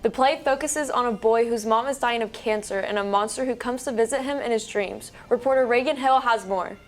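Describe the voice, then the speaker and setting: A woman's voice: fairly high pitch, brisk. A woman news anchor reading a scripted introduction.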